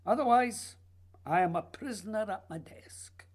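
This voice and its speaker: An actor playing an earnest, restless writer, needy and intense, in a theatrical, pleading radio drama style. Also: male voice, high-pitched, measured pace, breathy edge. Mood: weary, bitter. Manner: theatrical, pleading, literary phrasing. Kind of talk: radio play acting.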